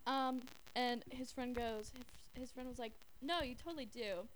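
A young woman with a fairly high voice co-hosts an informal podcast. She tells anecdotes conversationally, quoting herself and others with plenty of "like". The amateur recording carries some faint noise. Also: normal speed, rough recording. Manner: informal, heavy use of "like" when quoting. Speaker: podcast co-host. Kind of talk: casual chat, telling anecdotes.

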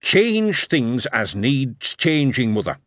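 A man's voice: mid-range voice, sing-song, slow, a bit bright, no echo, clean studio sound. A man voicing an eager young Yorkshire lad whose words tumble out as he explains his inventions.

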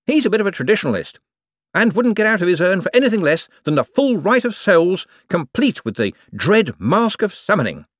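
A man doing a jittery, high-pitched wizard. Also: very animated, no echo, studio quality. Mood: flustered. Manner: flustered, hurried, over-explaining. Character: nervous, evasive male wizard bureaucrat, middle-aged. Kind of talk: audiobook character voice.

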